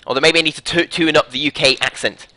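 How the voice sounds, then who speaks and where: A man's voice: on the high side, fairly even intonation, fast talker, sharp tone, dry recording. A young British man casting a live esports match, upbeat and rambling, joking between rounds on a headset mic. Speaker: esports caster. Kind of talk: live game commentary.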